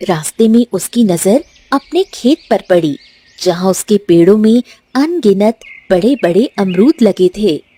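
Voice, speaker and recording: Slow, mellow female storyteller. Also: medium pitch, animated, breathy edge. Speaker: narrator. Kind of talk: scripted story narration.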